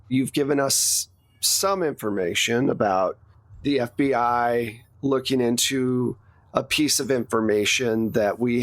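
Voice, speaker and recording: A man voicing a formal, guarded female agency boss in a tabletop game, with measured bureaucratic wording. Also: mid-range voice, fairly bright tone, dry recording, natural voice.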